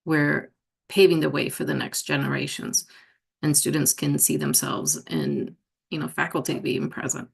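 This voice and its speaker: A woman art therapist speaking on a panel, slow and thoughtful, with "like" and "you know" fillers. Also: low-pitched, sing-song, vocal fry, slightly rough. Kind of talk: panel discussion. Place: university event room.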